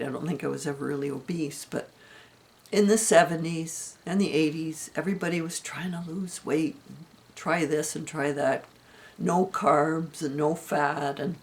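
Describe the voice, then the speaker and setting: A woman in her seventies giving a chatty, rambling health update from home, her voice going hoarse. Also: deep voice. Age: 70s. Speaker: vlogger. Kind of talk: casual personal health update.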